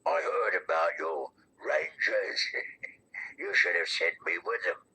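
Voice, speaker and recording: A man voicing a sly, mocking wildling in a fantasy audiobook, with a low, gravelly, taunting delivery. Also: pushed and bright, slight background noise, full character voice, poor audio. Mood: menacing. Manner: taunting, unhurried. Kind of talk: fantasy audiobook character reading.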